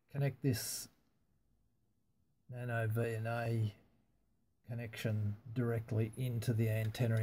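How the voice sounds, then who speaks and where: Male hobbyist demonstrator: mellow, very even delivery, halting and matter-of-fact, noisy recording. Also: on the low side. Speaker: amateur radio hobbyist demonstrating antenna tuning. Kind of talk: casual hands-on demonstration, narrated live.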